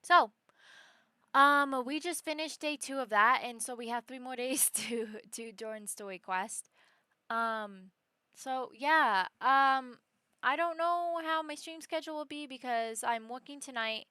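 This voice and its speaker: A woman's voice: high-pitched, unhurried, sharp tone, clean tone, dry recording. A woman streaming a video game, chatting casually to her viewers with game music behind her. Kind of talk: casual livestream chat while playing a game.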